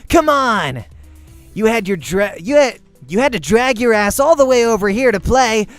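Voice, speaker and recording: A man's voice: high voice, lively intonation, normal speed, faint music behind, pitched above the performer's own voice. A man voicing a cocky teenage boy gamer in a visual novel, with a smug, taunting, slangy manner.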